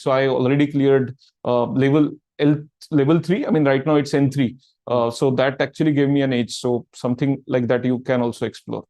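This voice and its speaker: A man's voice: on the high side, a little mellow, no echo. A middle-aged man answering audience questions in a casual talk, filling his sentences with "right, like" and "I mean". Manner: filler-heavy, repeats "right, like". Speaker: guest speaker answering questions.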